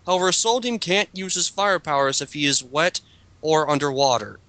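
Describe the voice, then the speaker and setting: A man's voice: on the high side, moderate pace, sharp tone, dry recording. A man reading aloud to a group in a mocking style, with casual comments in between. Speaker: reader in a group dramatic reading.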